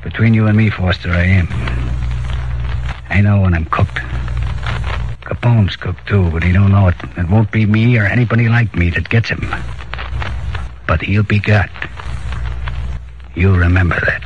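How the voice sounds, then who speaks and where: An actor playing a curt, weary, hard-boiled gang boss in a 1940s radio crime drama, with slangy, commanding lines. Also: male voice, sits low, measured pace, long pauses. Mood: resigned, grim. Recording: noisy, dull 1940s radio recording.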